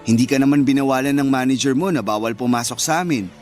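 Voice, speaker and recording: A man acting a frustrated young fast-food worker and devoted boyfriend in conversational, protesting radio drama dialogue. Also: medium pitch, darkish tone, soft background music, natural voice.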